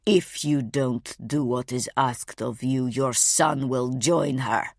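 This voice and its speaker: A woman voicing a cold, controlled male kidnapper who delivers slow, clipped threats. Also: medium pitch, steady tone, vocal fry, no echo, clean studio sound, voice pushed down. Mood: menacing.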